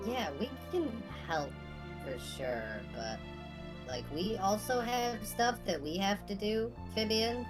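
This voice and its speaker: A woman chatting casually as a player in a tabletop game. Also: low voice. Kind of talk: casual chat.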